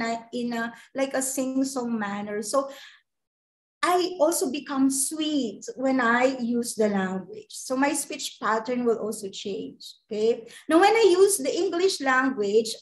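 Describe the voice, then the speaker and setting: High-voiced Filipino woman lecturing. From Philippines. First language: Ilocano. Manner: animated, checks in with "okay?". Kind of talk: guest lecture.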